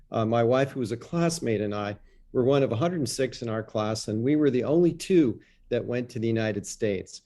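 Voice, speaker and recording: Male panelist, Canadian accent, dark mellow tone, mid pitch, measured storytelling.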